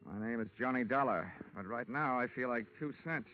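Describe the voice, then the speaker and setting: An actor playing a wry, cool-headed insurance investigator in a hard-boiled, wisecracking 1940s radio detective style. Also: male voice, medium pitch, brisk, lightly acted. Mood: dry, wry. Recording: tinny, band-limited 1940s radio recording.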